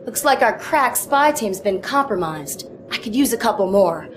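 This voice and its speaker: Brash, lively commando woman played by an actress. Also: medium pitch, normal speed, background noise, natural voice. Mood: determined, wry. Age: young adult.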